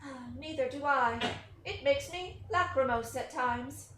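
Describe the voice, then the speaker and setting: Woman playing a snooty bookish kid, boy, high voice, very formal and grand, echoey room w faint hiss. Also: measured pace, fairly bright tone. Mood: haughty, formal. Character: precocious, formal orphan boy raised by drones. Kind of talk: theatrical staged play reading. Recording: roomy sound with faint noise.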